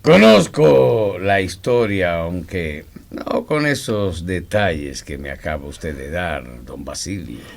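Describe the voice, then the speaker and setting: A dignified retired colonel for a radio drama. The voice is low and gravelly, with slow, formal, courteous delivery and an air of self-importance. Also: male voice, animated, full character voice. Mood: stately, indulgent.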